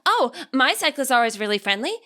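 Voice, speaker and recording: An eager teenage girl, put on by a female narrator, talks in a high, bright voice. She is perky and earnest, a curious and friendly young battler in an audiobook. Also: sing-song, fairly quick, full character voice.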